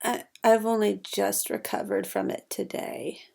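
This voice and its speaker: A woman's voice: medium pitch, very animated, measured pace, gravelly, darkish tone, heavily acted. A woman playing a genteel, evasive lounge singer in her thirties in a noir audio drama, polite and theatrical. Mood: weak, shaken.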